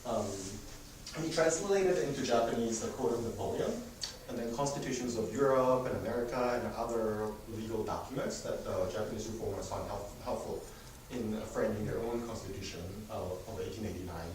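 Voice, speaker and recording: A man's voice: fairly low pitch, fairly quick, a little mellow. Man leading a class, echoey room. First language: not English. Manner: casual, hesitant, many fillers and self-corrections. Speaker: adult education class leader. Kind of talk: church history class talk. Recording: echoey room from far off, noisy.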